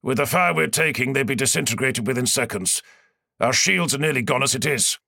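A man's voice: medium pitch, fairly quick, creaky, bright, forceful tone, no room sound, clean studio sound, pitched above the performer's own voice. A man voicing a blunt, loyal male starship captain who reports grimly to his lord. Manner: grim, plain-spoken.

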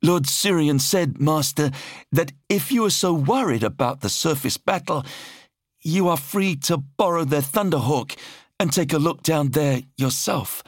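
A man playing a strained, high-pitched nervous deck officer. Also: animated, measured pace, no room sound, studio quality. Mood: fearful, controlled. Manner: formal reporting, strained but controlled. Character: nervous, dutiful human male deck officer serving a monstrous master.

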